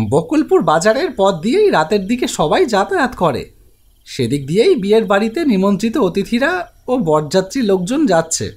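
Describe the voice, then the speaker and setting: An elderly tea-stall keeper with a cartoon's strained, raised pitch, as a man puts him on. He sounds earnest and fatherly, warning the young travellers with lively rises and falls. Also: exaggerated voice. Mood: explaining. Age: older. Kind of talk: animated ghost story voice acting.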